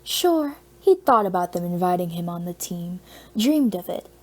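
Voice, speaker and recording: A woman reading scripted fiction as an audiobook narrator, with expressive storytelling. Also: lowish voice, moderate pace.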